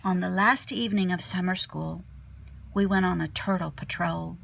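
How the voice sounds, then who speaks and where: A woman teacher reading a children's picture book aloud expressively, sometimes dropping to a hushed tone. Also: lowish voice, normal speed, creaky. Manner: animated storyteller, drops to hushed whispery tones.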